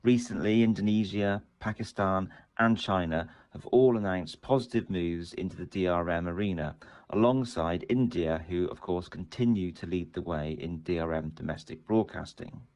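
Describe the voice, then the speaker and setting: Male executive, very low register, measured prepared corporate statement. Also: a little mellow. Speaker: commercial director.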